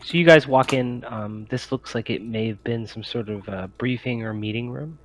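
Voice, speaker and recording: A man running a tabletop game as game master, improvising descriptions in a halting way with many pauses. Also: mid-range voice, slight background noise.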